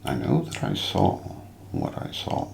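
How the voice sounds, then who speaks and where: A man narrating a scripted mystery documentary as an ASMR role play, in a hushed, deliberate, suspenseful manner. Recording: roomy echo, light background noise.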